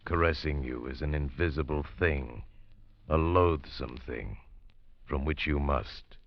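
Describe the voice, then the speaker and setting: A man's voice: rumbling low, a little slow, vocal fry, a little hiss or hum. A male announcer giving a portentous, dramatic introduction to a radio play. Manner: ominous, portentous. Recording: dull 1940s radio broadcast with music.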